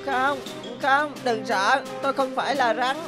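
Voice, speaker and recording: A woman voicing a gentle young dragon in a cartoon, soft and pleading. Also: high voice, measured pace, over music. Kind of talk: cartoon voice acting.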